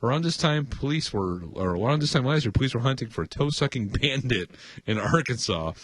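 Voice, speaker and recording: A man's voice: mid-range voice, expressive, a little fast. A man co-hosting a comedy podcast, bantering casually and reading odd news with crude, sarcastic asides and free swearing. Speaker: podcast co-host.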